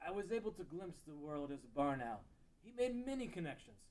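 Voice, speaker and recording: A man's voice: fairly high pitch, very animated, brisk, darkish tone. A man playing a solemn, blunt ancient sage who guards a sacred realm, in a fantasy table read. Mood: resolved. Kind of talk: table read of a fan-written audio drama.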